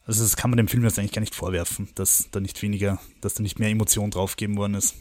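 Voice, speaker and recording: A man's voice: on the low side, little pitch movement, very fast, darkish tone, dry recording. A man co-hosting a casual film podcast, retelling plot points at length and laughing between remarks.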